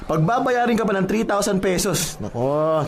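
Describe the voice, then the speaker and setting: A man's voice: high voice, expressive, moderate pace. A man playing an earnest, exasperated young garbage collector in a Filipino radio comedy-drama, insistent and exclamatory.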